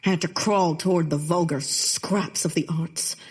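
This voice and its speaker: A woman's voice: fairly low pitch, steady tone, moderate pace, husky. An actress playing a bitter, theatrical Victorian woman poet in a comedic period audio drama, with a haughty, sardonic delivery. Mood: fervent, bitter.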